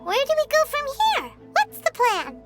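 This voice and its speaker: A woman voicing a tiny, eager, childlike fairy companion in a video game, squeaky and perky. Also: wildly swooping pitch, measured pace, soft background music, heavily acted. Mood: curious. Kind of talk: scripted video game cartoon-like dialogue.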